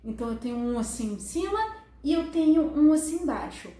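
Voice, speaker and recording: A woman's voice: high-pitched, animated, fast, warm tone, echoey room. A woman fitness instructor explaining how the spine works with diagrams, in a friendly way full of diminutives. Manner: friendly, uses many diminutives.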